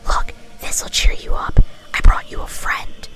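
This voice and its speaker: A woman's voice: measured pace, over music, natural voice. A woman voicing a giggly, murderous female party pony in a whispered storybook reading, sweetly chatty and casually menacing. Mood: sweet menace.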